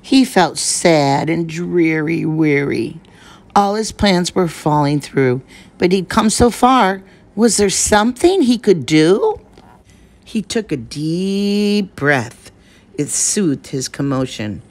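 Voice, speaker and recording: A woman reading a children's picture book aloud, giving the rhymes a measured, expressive delivery. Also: on the low side. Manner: measured, expressive rhyming narration. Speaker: storyteller.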